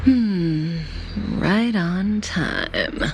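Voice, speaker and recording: A woman's voice: medium pitch, extremely slow. An actress playing a cool, languid, coaxing female villain in a video game. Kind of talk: dramatic video game voice acting. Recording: loud music under the voice.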